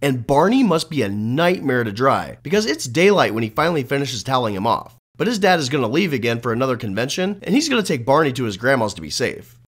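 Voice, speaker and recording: A man's voice: medium pitch, sing-song, quick pace, hardly any pauses. A man narrating a scripted comic film recap as a bedtime story, sarcastic and crude with plenty of swearing.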